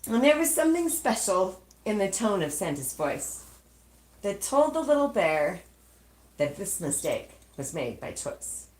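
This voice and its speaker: A woman storyteller reads rhyming children's verse at an unhurried pace. Her pitch swings widely, and she leaves dramatic pauses between phrases. The room gives her voice a noticeable echo. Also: very animated, a little mellow. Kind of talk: expressive read-aloud of a rhyming children's storybook.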